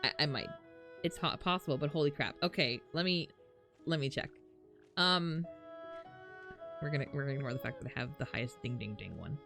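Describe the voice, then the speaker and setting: A woman livestreaming a game, chatting casually with viewers in a sweary, informal way. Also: low-pitched. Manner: sweary, prone to laughing. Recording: faint game music behind. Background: faint music.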